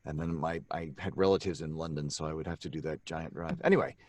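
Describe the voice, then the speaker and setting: A man from Canada chatting casually with the cast, playful and quick to joke. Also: very deep voice, steady tone, darkish tone, no room sound. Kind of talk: casual chat.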